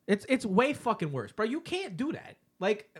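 A man with a slightly high voice talks in slangy, crude, playful banter, his pitch jumping around a great deal. He sounds like a casual podcast co-host riffing with a friend. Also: sing-song, moderate pace, slightly rough. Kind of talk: casual comedic chat.

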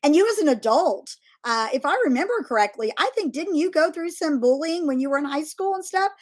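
A woman's voice: high voice, expressive, a little fast. A woman hosting a faith-based discussion, asking her guests questions and encouraging them. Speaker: host.

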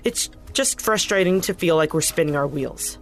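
A woman playing a cautious, defensive reformer with a measured way of talking in an audio drama. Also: sits low, animated, soft background music. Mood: frustrated. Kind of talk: naturalistic audio drama acting.

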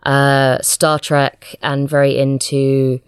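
A woman's voice: extremely low pitch, flat delivery, slow pace, clean tone, dry recording, studio quality. A woman chatting casually as an interview guest, a writer with a hesitant, self-deprecating manner.

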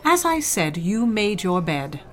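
A woman's voice: mid-range voice, very animated, measured pace. A woman playing a cold, disapproving older society mother in an audio drama, haughty and clipped in manner. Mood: scornful.

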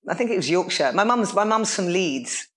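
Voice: mid-range voice, expressive, normal speed, fairly bright tone. A musician guest talking in an earnest, reflective way over a remote call, with lots of fillers. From Nigeria. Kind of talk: casual chat.

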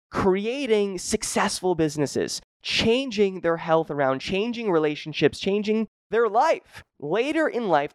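A man's voice: sits high, clean tone, no echo. A young man hosting his own podcast as a fitness coach, talking energetically and emphatically and repeating key phrases. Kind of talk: motivational solo podcast talk.

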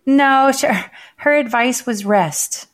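Chatty raspy-voiced woman podcast host. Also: high voice. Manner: chatty, quick, full of fillers. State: raspy voice from laryngitis. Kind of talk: casual chat.

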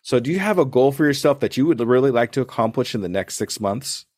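Guy hosting a podcast, rambly and friendly, mid pitch, dry close mic. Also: lively intonation, fast, darkish tone, dry recording. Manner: chatty, rambling, restarts and laughs. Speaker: podcast host. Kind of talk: casual interview chat.

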